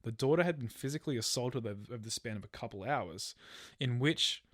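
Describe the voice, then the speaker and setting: A man's voice: mid-range voice, expressive, fast talker, a bit bright, dry recording, clean studio sound. A man telling a true crime story as a podcast host, partly from a script. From Australia.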